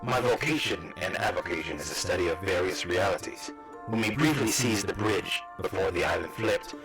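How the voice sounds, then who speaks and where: A man voicing a calm, formal, scholarly strategist in a comic reading, explaining in measured phrases. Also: low voice, poor audio. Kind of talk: comic book voice acting. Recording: music clearly under the voice.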